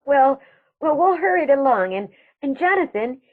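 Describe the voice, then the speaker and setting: Over a thin, phone-like recording comes a prim old aunt, played by a woman. She is genteel yet firm and a little flustered, with a highish, expressive voice in radio comedy style. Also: moderate pace. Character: prim elderly aunt, polite but firm. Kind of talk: stage comedy radio acting. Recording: thin phone-like band-limited sound.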